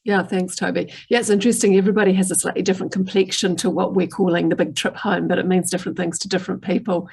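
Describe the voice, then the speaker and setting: A woman, moderating a panel discussion in a measured, friendly way. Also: fairly low pitch, little pitch movement, gravelly, darkish tone, slightly rough. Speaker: panel host.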